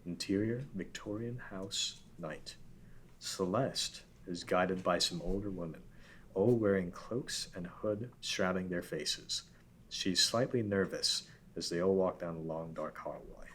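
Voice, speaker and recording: A man's voice: low voice, a little slow, a bit bright, low-quality recording. A man narrating a script, reading out scene headings and stage directions. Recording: background room noise.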